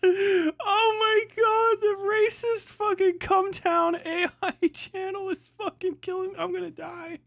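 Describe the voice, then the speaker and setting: Guy streamer reacting, high voice, slow pace, swears a lot, really dry close sound. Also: little pitch movement, creaky, fairly bright tone, no room sound. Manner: profane, exclamatory. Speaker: live streamer. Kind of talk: casual reaction chat.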